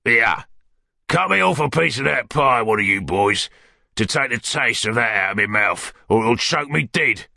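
A man's voice: mid-range voice, expressive, normal speed, vocal fry, bright, forceful tone, no echo, clean studio sound, voice pushed up, exaggerated voice. A man voicing a brutish, bitter London criminal in an audiobook, growling and surly with a Cockney accent. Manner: surly, demanding. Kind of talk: audiobook character acting.

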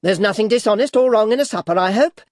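An indignant old storybook mother-in-law, put on by a man, high in pitch and huffy. She pushes her challenges quarrelsomely, with some fear underneath. The recording is dry. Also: quick pace, dry recording, natural voice. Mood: challenging.

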